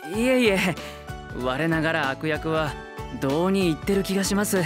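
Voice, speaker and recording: A man's voice: fairly high pitch, lively intonation, normal speed, close to an everyday voice. A man playing a kindly, easily flustered young man who talks politely and dramatically in a comedic voice anime. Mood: gentle, amused. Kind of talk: Japanese voice-anime comedy acting. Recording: music bed behind the voice.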